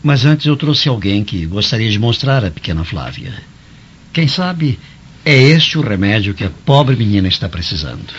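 A man's voice: fairly low pitch, very animated, moderate pace, fairly bright tone, a little hiss or hum. An actor playing a kindly Roman uncle and father in a radio drama, courteous and coaxing. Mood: courteous, solicitous. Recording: muffled radio drama, music behind.

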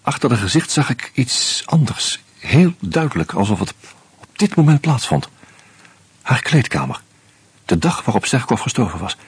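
A man narrating a radio drama in the first person, measured and reflective. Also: medium pitch, big pitch swings, breathy edge, no echo.

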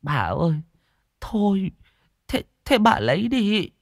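A man's voice: sits high, sing-song, slow pace, no room sound, clean studio sound, pitched above the performer's own voice, heavily acted. A man voicing a poor old woman in an audiobook story, humble and imploring. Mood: pleading. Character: meek, pleading poor old woman fishmonger.

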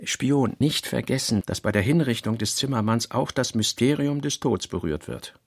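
Brooding male novelist, gravelly and deliberate, close dry sound, literary radio drama. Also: medium pitch, no echo. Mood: pensive, intent. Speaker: radio play reader. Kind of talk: literary radio reading of narration and working notes.

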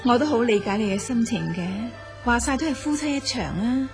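A woman's voice: on the high side, expressive, brisk, over music, lightly acted. A woman acting in a Cantonese audio drama as a gracious empress of ancient China, stately and reassuring. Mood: consoling. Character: gracious ancient Chinese empress, praising and consoling.

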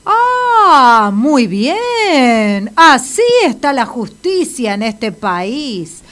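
Actress doing haughty lady doctor, slow and very animated, indignant, comic audio play. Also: a little high. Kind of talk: comic audio drama acting.

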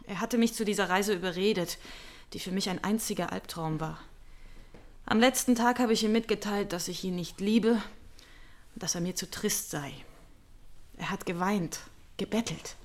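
A woman's voice: medium pitch, moderate pace, long pauses, close to an everyday voice. A woman playing a sober, disillusioned former mistress in a German radio drama, composed and matter-of-fact. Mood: sober recollection. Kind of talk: German radio drama acting, first-person account.

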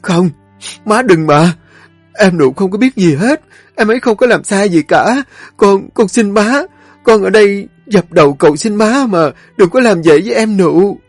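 A man's voice: mid-range voice, sing-song, moderate pace, darkish tone, breathy edge, no room sound, soft background music. A man voicing a desperate young wife who pleads and sobs for mercy. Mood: sobbing. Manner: sobbing pleading.

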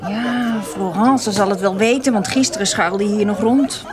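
A woman's voice: medium pitch, moderate pace, natural voice. An actress playing a merry, bawdy brothel woman in a historical audio drama, teasing and giggly. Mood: flirtatious, amused. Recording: loud music and noisy crowd behind.

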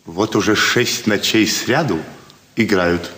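An actor playing an obsequious, wily inn servant in a stage comedy, servile and folksy, recorded in an echoey room. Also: male voice, fairly low pitch, takes it slowly, fairly bright tone. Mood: servile, confiding. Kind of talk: theatrical classic stage comedy acting.

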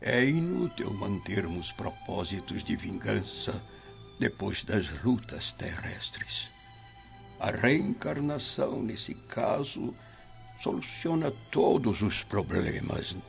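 An actor playing a reflective, repentant spirit in a Brazilian radio drama, earnest and ponderous in theatrical style. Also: male voice, on the low side, very animated, slow, creaky, full character voice. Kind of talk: theatrical radio play acting. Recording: dull lo-fi audio with music.

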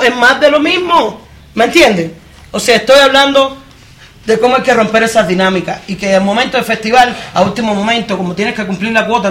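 A woman's voice: a little high, a bit bright. A woman from Cuba with a Cuban accent, speaking with force at a live public discussion, addressing the audience directly with rhetorical questions. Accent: Cuban Spanish. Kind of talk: impassioned live panel talk.

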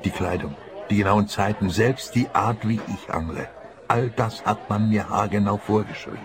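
Male actor as troubled retired husband, older, lowish voice, earnest and measured. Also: a crowd in the background, natural voice. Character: uneasy, earnest male pensioner, henpecked husband. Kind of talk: German-language radio play dialogue.

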